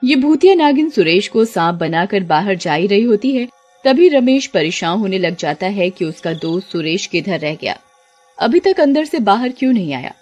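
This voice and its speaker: A woman's voice: mid-range voice, big pitch swings, normal speed, a little mellow, soft background music. A woman giving scripted storybook narration for a cartoon.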